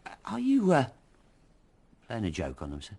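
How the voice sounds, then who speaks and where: An actor in a radio drama playing an eager, deferential café waiter who speaks hesitantly and obligingly. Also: male voice, medium pitch, wildly swooping pitch, normal speed, gravelly, darkish tone, breathy edge, heavily acted. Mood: flustered, curious.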